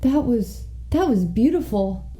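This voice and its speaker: A young woman playing an excitable, emphatic sidekick in a comedy radio play. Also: fairly high pitch, measured pace, faint music behind. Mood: admiring. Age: young adult. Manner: reactive, emphatic. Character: excitable sidekick egging on, scornful of the suspect.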